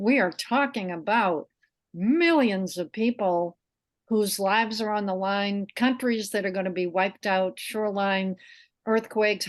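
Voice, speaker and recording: Woman host, middle-aged, earnest, shares her own story calmly. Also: medium pitch. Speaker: interview host. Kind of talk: conversational interview.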